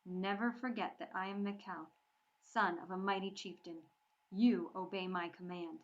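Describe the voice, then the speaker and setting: A woman's voice: medium pitch, fairly even intonation, normal speed, dull audio, lightly acted. A woman playing a haughty teenage Viking boy. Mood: commanding. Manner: haughty, commanding. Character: proud teenage Viking boy leader, cold and commanding.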